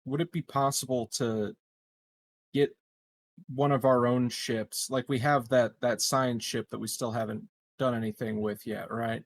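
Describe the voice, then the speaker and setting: Casual male tabletop player, mid-pitched. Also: steady tone, a little slow. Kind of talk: casual game chat, asking questions.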